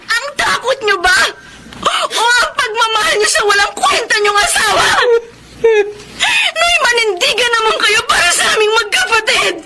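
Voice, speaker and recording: A very high, bright young woman's voice plays a daughter in a radio drama. She pleads and presses insistently. Her tone is clear and ringing. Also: expressive, moderate pace, full character voice. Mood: tearful, pleading.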